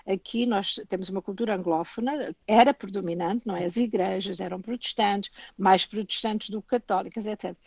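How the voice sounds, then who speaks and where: A woman's voice: medium pitch, a little fast, creaky, hardly any pauses, a little mellow, no echo. An older woman from Portugal with a European Portuguese accent, a guest speaking thoughtfully with frequent restarts and asides in a remote interview. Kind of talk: remote interview, reflective conversation. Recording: dull lo-fi remote line.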